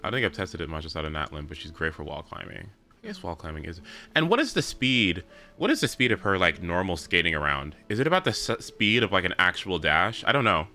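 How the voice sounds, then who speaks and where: Animated, bright-voiced male game streamer. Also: low voice, soft background music. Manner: halting, repeats words, thinks aloud. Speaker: game livestreamer. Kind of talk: casual livestream chat over gameplay.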